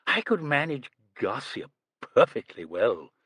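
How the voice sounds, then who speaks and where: A man's voice: mid-range voice, big pitch swings, a little slow, a bit bright, husky, dry recording, clean studio sound, exaggerated voice. A man voicing a languid, self-assured young woman with an airy, amused manner.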